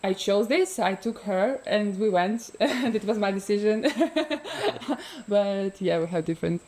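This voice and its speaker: Soft-spoken woman, slow and mellow. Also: medium pitch, expressive. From Ukraine. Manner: soft-spoken, hesitant with many fillers, laughs often. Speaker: freediver guest. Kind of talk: relaxed interview conversation.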